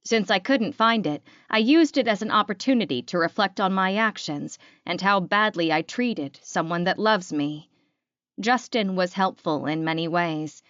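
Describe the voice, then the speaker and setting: A woman's voice: mid-range voice, moderate pace, a bit bright, no echo, muffled recording. A woman reading a first-person fantasy romance audiobook as scripted narration.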